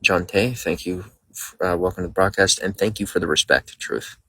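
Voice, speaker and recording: A man's voice: low voice, normal speed, sharp tone, husky, dry recording, low-quality recording. A young man streaming live, rambling casually while greeting viewers by name and reading out their comments. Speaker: livestreamer.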